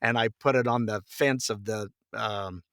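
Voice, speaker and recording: Mature male podcast guest, forceful bright tone, very dry sound, jovial rambling raconteur. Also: fairly low pitch, steady tone, normal speed, dry recording. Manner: jovial, rambling storyteller, acts out quoted dialogue. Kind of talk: casual interview chat, anecdotes.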